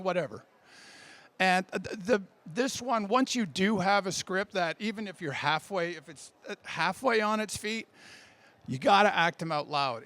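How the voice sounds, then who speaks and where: A man's voice: high voice, fairly bright tone. A man giving an informal conference talk on writing, casual and jokey with a self-deprecating streak. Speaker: speaker giving a workshop talk.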